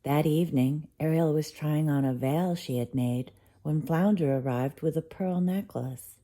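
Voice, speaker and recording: Woman, storybook reader, low-pitched, mellow, expressive bedtime narration. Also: measured pace.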